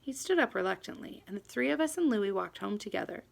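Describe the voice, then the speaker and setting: A woman reading a children's novel aloud in an expressive, animated first-person storytelling manner. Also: mid-range voice, fast, gravelly. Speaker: audiobook reader.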